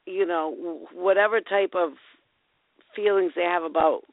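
A woman with a raspy voice calling in to a talk show, rambling excitedly. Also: lowish voice, a little slow, dry recording. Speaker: caller. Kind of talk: casual call-in chat. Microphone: phone. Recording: tinny phone line, dry.

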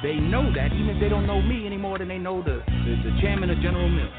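A man boasting in a swaggering, animated way, from a film clip. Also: high-pitched, quick pace. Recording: tinny phone-like clip with loud music.

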